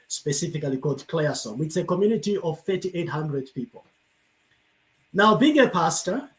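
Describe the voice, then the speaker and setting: A man's voice: fairly high pitch, poor audio. A man from Zambia who leads a rural congregation as pastor, answering on a video-call panel in a grateful, storytelling way.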